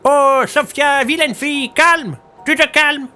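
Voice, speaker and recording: Shrill, folksy old woman. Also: steady tone, takes it slowly, pushed and bright, exaggerated voice. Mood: scolding. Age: older. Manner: folksy, rambling, chatty. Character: senile but cheerful old village woman. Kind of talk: audio drama acting.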